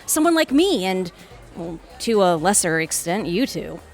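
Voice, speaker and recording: A woman's voice: fairly low pitch, very animated, measured pace, a little mellow, faint music behind. A woman playing an arrogant, calculating lawyer in an audio drama, articulate, condescending and self-assured. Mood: smug, calculating. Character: arrogant, calculating Harvard-trained female lawyer.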